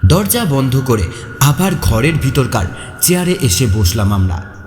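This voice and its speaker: Animated male storyteller in long reverb. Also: mid-range voice, unhurried, slight background noise. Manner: expressive, suspenseful. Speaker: narrator. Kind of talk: dramatic first-person horror story narration. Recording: echoey long reverb, faint music behind. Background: faint music.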